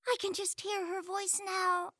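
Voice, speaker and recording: Woman as a tiny fretful web spider, extremely high squeaky voice, sharp and bright, melodramatic, morbid, cartoon comedy. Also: level tone, measured pace, heavily acted. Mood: morbidly planning. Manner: melodramatic, stumbles over long words. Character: small green male web spider creature, fretful and morbid. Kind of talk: audio comedy cartoon acting.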